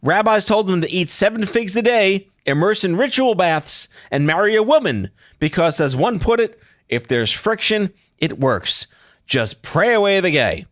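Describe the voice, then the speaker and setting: A man's voice: high voice, sing-song, moderate pace, fairly bright tone, no room sound, clean studio sound. A middle-aged man hosting a podcast interview, talking in a blunt, wry and casually profane way. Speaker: podcast host.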